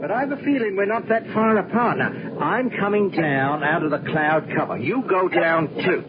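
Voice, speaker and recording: An actor playing a determined, comradely rescue pilot, giving urgent, hearty instructions in a 1970s radio drama. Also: male voice, high-pitched, animated, moderate pace. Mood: resolute, rousing. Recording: muffled old radio recording, very noisy.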